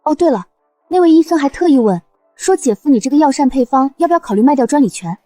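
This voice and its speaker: An actress playing an affectionate young woman in a family drama, speaking gently. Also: sits high, lively intonation, fast, no room sound, soft background music. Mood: gentle. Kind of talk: scripted drama acting.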